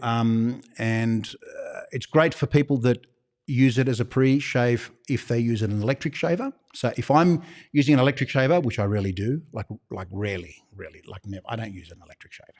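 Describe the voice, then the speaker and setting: Aussie bloke on a live stream q&a, chatty and rambly, keeps repeating himself, middle-pitched voice. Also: male voice, a little mellow. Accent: Australian. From Australia. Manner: demonstrates products on camera. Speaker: shaving shop host. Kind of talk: live-stream Q&A answering viewer questions.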